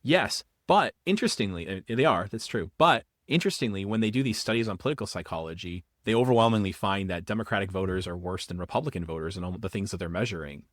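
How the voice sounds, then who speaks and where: A man, a professor from the Boston area, explaining ideas quickly in a casual podcast interview, often restarting his sentences. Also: on the low side, animated, no echo, studio quality. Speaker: guest, political philosophy professor.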